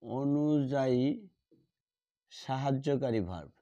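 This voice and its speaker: Man teaching grammar, slow and repetitive. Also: medium pitch, mellow. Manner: repeats key words slowly while writing. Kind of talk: classroom lesson at a board. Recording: dull lo-fi sound.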